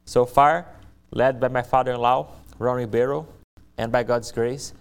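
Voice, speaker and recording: A young man from Brazil speaks English with a thick Brazilian Portuguese accent, his first language being Portuguese. He presents his missions work to a church congregation in an earnest, friendly way, choosing his words with care. His voice comes through smooth and clean, at a middling pitch. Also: fairly even intonation, moderate pace. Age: young adult. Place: church service.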